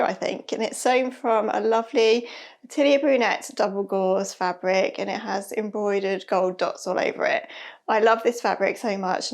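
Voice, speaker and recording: A woman in her thirties talking casually to camera about her sewing, a little hoarse and nasal from a cold, friendly and chatty. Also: medium pitch. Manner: chatty, friendly, with soft laughs. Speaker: sewing vlogger. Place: bright room with a sewing machine and shelves. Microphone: lapel mic.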